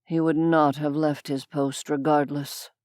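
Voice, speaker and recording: A woman's voice: sits low, little pitch movement, measured pace, a little mellow, dry recording, studio quality, pitched below the performer's own voice. A woman voicing a cold, aloof male demon who speaks in a formal, detached way.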